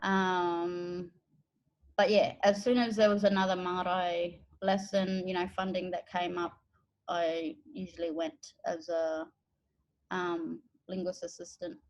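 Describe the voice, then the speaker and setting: An Australian woman from the Northern Territory, an educator and interpreter, telling her own story in a relaxed, thoughtful way with pauses. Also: fairly low pitch, poor audio. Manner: unhurried, thoughtful, with pauses and fillers. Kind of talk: relaxed personal account.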